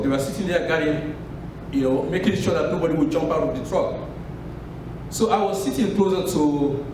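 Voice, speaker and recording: A Sierra Leonean man tells a live story, in a slightly raised voice and an unhurried, pausing delivery. He sounds far off the mic, in a strongly echoing hall. Steady noise hums behind him. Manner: measured, pausing, drops low at phrase ends. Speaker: author at a book launch. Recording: echoey room from a distance with noise.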